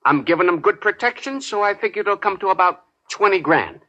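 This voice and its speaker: An actor playing a bullying, domineering small-time crime boss in a 1940s radio drama. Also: male voice, high voice, expressive, brisk, bright, forceful tone, dull audio. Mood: businesslike, commanding. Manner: needling, domineering, menacing. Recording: vintage 1940s radio broadcast.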